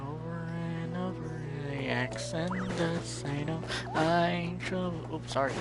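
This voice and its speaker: Man, fairly high voice, unhurried, casual streamer commentary, rambling, reactive, game music behind. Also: fairly even intonation. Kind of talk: casual live gameplay commentary.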